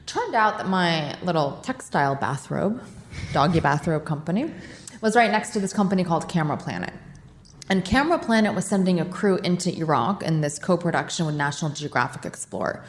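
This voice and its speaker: A woman being interviewed on a podcast, telling her career story in an animated, self-mocking way. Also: on the low side, fairly quick. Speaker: guest, war correspondent. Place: charity office, roomy. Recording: echoey room with faint noise.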